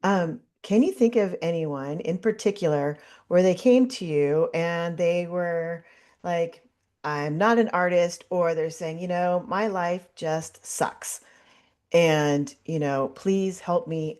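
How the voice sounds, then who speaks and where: Casting: deep-voiced woman podcast host, curious, thinks aloud with ums. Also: slow, a little mellow. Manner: curious, musing with many ums. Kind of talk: casual interview talk.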